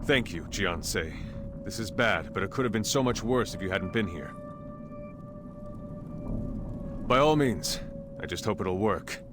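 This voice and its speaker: An actor playing an earnest, dutiful palace official in a video game, speaking formally and gravely. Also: male voice, lowish voice, lively intonation, normal speed, natural voice. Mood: concerned. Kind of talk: video game cutscene voice acting. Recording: music behind the voice.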